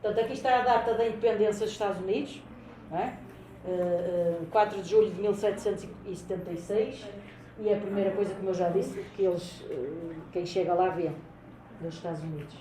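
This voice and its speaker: A woman from Portugal with a Portuguese accent, a teacher interpreting for her class in a brisk, explaining way. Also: mid-range voice, fairly even intonation. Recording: echoey classroom with faint noise.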